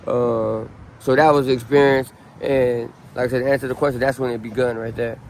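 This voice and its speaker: A man's voice: medium pitch, fairly quick, rough recording. Laid-back man rambling through a story. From Southern California. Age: 30s. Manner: relaxed, rambling, many ums and restarts. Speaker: interviewee. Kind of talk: casual street interview storytelling. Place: outdoors on a city street. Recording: faint street noise outdoors.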